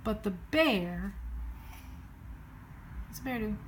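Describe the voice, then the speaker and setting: A woman reading a children's bedtime story aloud in an expressive, playful sing-song way, prompting a child along. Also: mid-range voice, slow, slight background noise.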